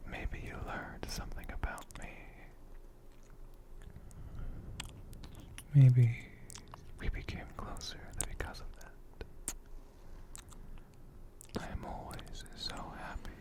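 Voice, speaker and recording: A performer voicing a gentle, affectionate dragon healer in a soft, breathy ASMR whisper, slow and soothing with tender, lingering pauses. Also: medium pitch, close to an everyday voice. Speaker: ASMR creator.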